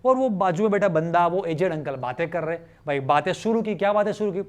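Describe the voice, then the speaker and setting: A man's voice: sits high, expressive, fast talker. A man with an Indian accent teaches students informally, conversationally explaining with questions and repeated phrases and mixing in English. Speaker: chemistry teacher.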